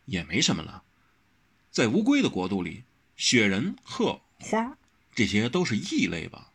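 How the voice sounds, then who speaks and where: A man voicing a patient young swordsman in an audiobook, gently placating. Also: mid-range voice, huge pitch swings, normal speed, slightly rough, voice pushed up, exaggerated voice.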